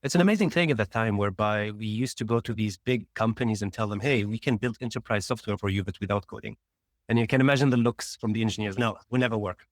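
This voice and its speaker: A man from Tunisia, a startup founder, telling his career story as a guest in a casual interview, rambling quickly with restarts. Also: lowish voice, no echo.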